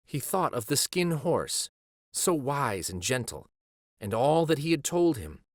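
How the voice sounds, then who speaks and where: Expressive man reading a storybook aloud. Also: medium pitch, a little slow, no room sound, studio quality. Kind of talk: expressive scripted storybook narration.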